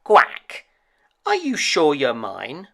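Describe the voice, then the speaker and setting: Man acting storybook mother duck, quacking, loud, fussy, puzzled, jumpy pitch. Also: wildly swooping pitch, very slow, vocal fry, heavily acted. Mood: doubtful.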